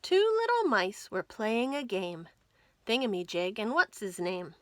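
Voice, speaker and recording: A woman hosting a children's storytime, reading stories and nursery rhymes aloud in an expressive, clearly enunciated way for young listeners. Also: mid-range voice, a little slow, no echo. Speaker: children's storytime host at a library.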